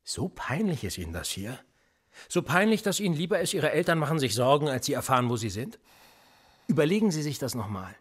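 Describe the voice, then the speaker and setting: An actor playing a police interrogator in a radio play, sardonic and menacing, needling with theatrical rhetorical questions. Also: male voice, a little high, big pitch swings, brisk, slightly rough. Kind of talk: naturalistic German radio play acting.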